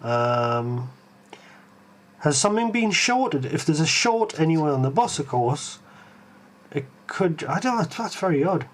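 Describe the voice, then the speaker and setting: A Geordie man with a voice sitting a little above the usual male range. He hosts a live stream and talks his thoughts through, often pausing on ums and restarting sentences. His delivery is unscripted and conversational. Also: a little high, big pitch swings. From Tyneside, England. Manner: hesitant, full of ums and restarts, musing aloud. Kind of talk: live tech stream, thinking aloud and answering chat.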